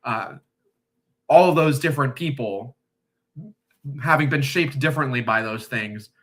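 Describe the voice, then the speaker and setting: A man from the United States in a casual discussion, speaking thoughtfully and hesitantly, with many pauses and restarts. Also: medium pitch, fairly bright tone. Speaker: discussion guest.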